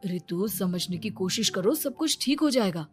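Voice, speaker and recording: A woman's voice: medium pitch, lively intonation, moderate pace, faint music behind, close to an everyday voice. Woman doing an earnest, placating young cartoon husband. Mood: anxious reassurance. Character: anxious, placating young husband, attentive to his wife. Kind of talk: Hindi cartoon story dub.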